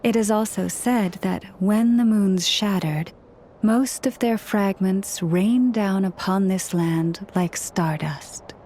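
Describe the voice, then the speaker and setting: A woman playing a serene, modest young priestess in a video game, speaking in a measured, solemn, formal way. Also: medium pitch, dark tone, slight background noise. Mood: solemn, reverent. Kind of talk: video game storytelling dialogue.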